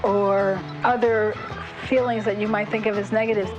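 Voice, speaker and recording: A woman giving reflective, instructive talk in measured phrases. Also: mid-range voice, flat delivery, muffled recording. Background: music.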